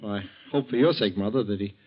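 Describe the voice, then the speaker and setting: An actor playing a scheming young grown son in 1940s radio style, hesitant and falsely solicitous. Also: male voice, lowish voice, fast, close to an everyday voice. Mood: sly, insinuating. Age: young adult. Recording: dull vintage 1940s radio recording.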